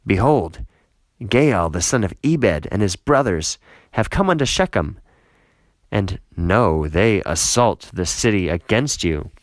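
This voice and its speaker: A man's voice: fairly low pitch, animated, slow, dry recording. Man reading as a commanding, scornful city ruler. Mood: urgent counsel. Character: scheming, scornful male city ruler giving counsel.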